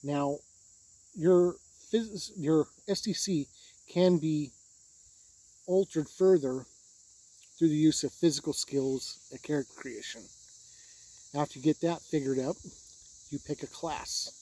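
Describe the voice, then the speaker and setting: A man with a fairly high, husky voice hosts a game review video. His tone is mellow and soft-edged, and he talks through rules in a conversational way, like a host explaining things to viewers. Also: takes it slowly, pauses often, no echo, poor audio.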